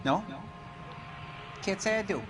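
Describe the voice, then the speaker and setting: An actor playing a defiant, bitter 1940s gas fitter in a video game, gruff and mouthy. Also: male voice, sits high, lively intonation, takes it slowly, noisy background. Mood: defensive. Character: defensive, bitter 1940s working-class male gas fitter. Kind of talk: dramatic video game acting.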